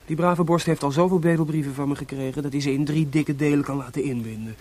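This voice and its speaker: An actor playing a playful, broke, impulsive young composer and husband in a Dutch radio drama, with a teasing, theatrical delivery. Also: male voice, fairly high pitch, steady tone, fast talker, a little mellow, lightly acted. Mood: flippant, carefree. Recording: noisy old radio play recording.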